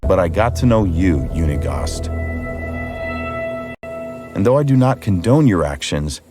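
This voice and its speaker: A solemn young game hero with a low voice that rises and falls expressively. He speaks in grave, deliberate phrases, with loud dark music underneath. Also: animated. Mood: solemn, resolute. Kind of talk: scripted game dialogue.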